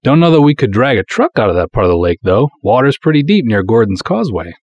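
A man's voice: mid-range voice, big pitch swings, fast, darkish tone, dry recording, lo-fi sound. A man playing a proud, folksy small-town cop who narrates his own pulp detective story in breezy, wisecracking, colloquial style. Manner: breezy, colloquial, hard-boiled wisecracking delivery. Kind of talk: dramatic first-person pulp story narration.

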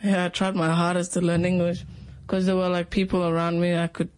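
High, flat-voiced young male guest. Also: smooth. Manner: halting, simple phrasing, leaning on "like" and "yeah". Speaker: interview guest.

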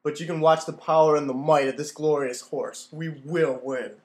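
A man's voice: fairly high pitch, fairly even intonation, normal speed, close to an everyday voice. A man playing a cocky, boastful jockey in a radio play. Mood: defiant. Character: cocky, boastful male jockey itching for glory. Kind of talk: old-time radio play acting.

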